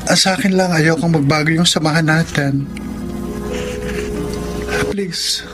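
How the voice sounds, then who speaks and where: A man's voice: fairly high pitch, steady tone, a little slow, natural voice. An actor playing an earnest young man in a radio drama, hesitant and pleading with a close friend. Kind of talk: Filipino radio drama acting. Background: background music.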